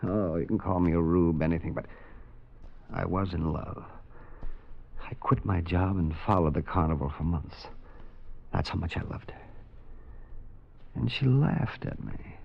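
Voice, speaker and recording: An actor playing a haunted carnival man who pleads and confesses in a tormented, halting way, in 1940s radio acting. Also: deep voice, creaky, slight background noise. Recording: dull old radio recording.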